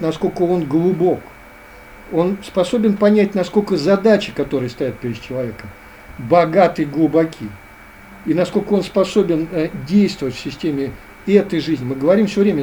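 A man's voice: a little high, warm tone, a little hiss or hum. A man speaking as a guest in a philosophical interview, earnest and lecturing, often circling back to the same phrases.

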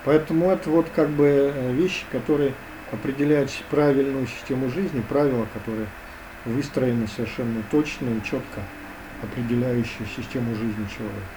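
A man's voice: mid-range voice, fairly even intonation, a little fast, background noise. A man speaking as a guest in a philosophical interview, earnest and lecturing, often circling back to the same phrases.

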